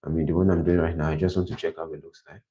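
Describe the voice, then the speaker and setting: A man with a very low, deep voice and a mellow, dark tone thinks out loud on a livestream. He rambles and keeps restating himself. The audio is dull and lo-fi, as from a livestream. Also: little pitch movement, rapid-fire. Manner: rambling, self-correcting, plans out loud. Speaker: livestream host. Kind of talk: casual thinking aloud. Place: quiet indoor room.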